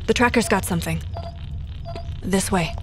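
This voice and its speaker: A woman's voice: mid-range voice, normal speed, a little hiss or hum, natural voice, low-quality recording. An actress playing a determined woman detective, clipped and direct, who also narrates the story. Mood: focused. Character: tough woman detective hunting a killer underground. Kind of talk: audio drama acting with narration. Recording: loud music behind.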